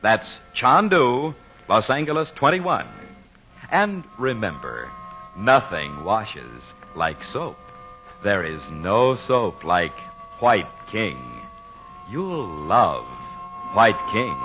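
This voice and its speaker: A male radio announcer from the 1940s with a bright, forceful voice and animated pitch. He sounds polished and salesmanlike, on a dull old broadcast recording. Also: very slow, faint music behind.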